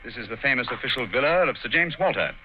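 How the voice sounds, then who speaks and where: A confident detective in a 1940s radio play, voiced by a man. He speaks quickly with a lively, swinging pitch and a very bright tone. Faint hiss sits under the old recording. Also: muffled recording, lightly acted. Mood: probing.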